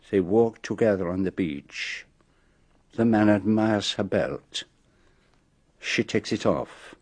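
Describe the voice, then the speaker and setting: An actor playing a shrewd, self-assured male detective with a French-speaking accent, speaking in measured, pompous reveals in a radio drama. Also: on the low side, a little mellow, no room sound. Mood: grave, explanatory.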